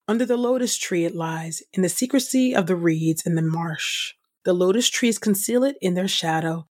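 A woman host reading scripture aloud from a script in a measured, solemn, clearly enunciated way. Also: on the low side, darkish tone. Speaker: podcast host and scripture reader. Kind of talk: scripted audio Bible reading.

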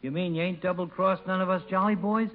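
An actor playing a blunt, suspicious working-class pal who talks in rough slang, 1950s radio comedy style. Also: male voice, sits high, steady tone, quick pace, close to an everyday voice. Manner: colloquial, blunt, ungrammatical. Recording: muffled, noisy 1950 radio recording.

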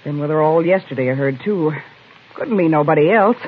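An actress playing a blunt, feisty Old West rooming-house landlady with a husky voice and folksy, emphatic speech in a radio western. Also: low voice, a little fast. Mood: bitter, accusing. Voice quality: husky, rough voice. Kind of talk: 1950s radio western acting. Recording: tinny, narrow old-time radio recording.

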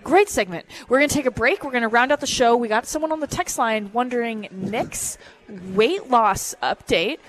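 A woman's voice: a little high, moderate pace. A young woman hosting a casual sports radio show from a bar, teasing her co-host in playful banter. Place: bar and lounge.

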